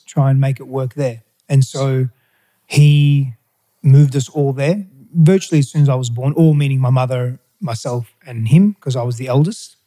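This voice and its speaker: A middle-aged man, whose was Greek, recounts his past as an interview guest. His voice is mellow and dark, and he talks candidly, often pausing and restarting. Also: fairly high pitch, rough recording. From Australia. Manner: candid, hesitant, with restarts and fillers. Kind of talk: reflective personal storytelling.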